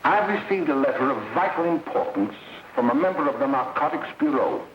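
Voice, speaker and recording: A man's voice: mid-range voice, expressive, fairly quick, lightly acted. An American man acting in a 1930s film as a stern, alarmist school official, addressing parents in grave, emphatic, formal oratory. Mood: solemn, formal. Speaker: speaker addressing a parents' meeting. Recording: dull, noisy 1930s film soundtrack, roomy echo.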